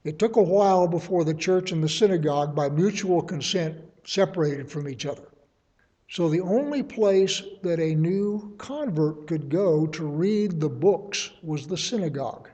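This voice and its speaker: A man teaching a verse-by-verse Bible study, reasoning aloud in a measured way and offering his readings as suggestions. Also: on the high side, warm tone, big-room sound, muffled recording.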